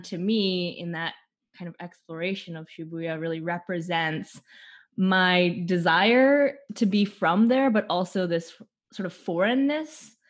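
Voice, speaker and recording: A Canadian-born woman, a theater artist, speaking slowly and thoughtfully in an interview, often pausing and laughing lightly. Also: on the low side. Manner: slow, thoughtful, with frequent laughs and pauses. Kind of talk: conversational interview, opening with a guided imagined scene.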